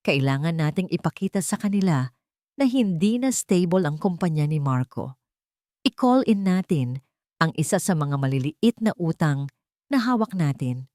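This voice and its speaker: A woman's voice: sits low, expressive, moderate pace, mellow, dry recording, studio quality. Woman voicing a determined, wronged Filipino young mother. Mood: confident. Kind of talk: audio drama storytelling.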